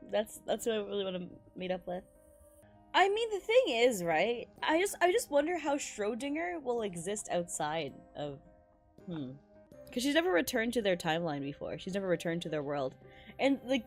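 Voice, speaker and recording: A woman doing casual gaming stream commentary in a mid-pitched voice that swings widely up and down. She talks in slang, swears freely and reacts expressively. Game music plays behind her. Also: darkish tone.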